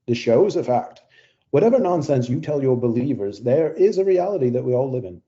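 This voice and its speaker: A male actor plays a cold, dismissive tech advocate in an audio drama. His mid-pitched voice is mellow with wide swings in melody, and he speaks bluntly, with total certainty. The recording is a little muffled. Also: lively intonation, brisk, close to an everyday voice. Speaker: debater. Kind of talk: audio play acting.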